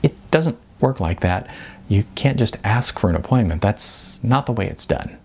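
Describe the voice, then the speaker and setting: A man voicing a young woman receptionist in an audiobook, brisk and dismissive, explaining things with slight condescension. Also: on the low side, expressive, gravelly, a little mellow, slight background noise. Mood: polite, dismissive. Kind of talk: audiobook character dialogue.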